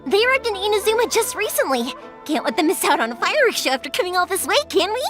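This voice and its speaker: Casting: a high, sparkly, upbeat young woman, lively swings, soft music under. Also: fast. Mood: cheerful. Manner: lively, chatty storyteller, affectionate. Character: bubbly young woman fireworks maker. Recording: game audio with music behind.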